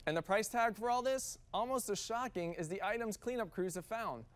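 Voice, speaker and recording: A man's voice: high-pitched, brisk. A man with an American accent reading scripted television news as an anchor.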